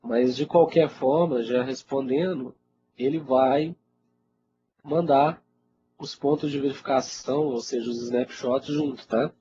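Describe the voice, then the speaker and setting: Casting: Brazilian male IT instructor, congested, casual Q&A delivery, medium pitch, muffled noisy webinar audio. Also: fairly even intonation, a little slow. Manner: informal, conversational, frequently checks in with listeners. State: congested with a cold. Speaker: IT instructor answering webinar questions. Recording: dull lo-fi webinar audio, loud noise.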